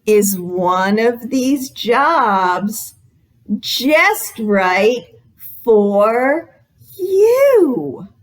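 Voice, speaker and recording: A woman hosting a storytime for young children, reading aloud in an exaggerated, encouraging way and pausing after questions to invite guesses. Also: on the high side, big pitch swings. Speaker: children's librarian, storytime host.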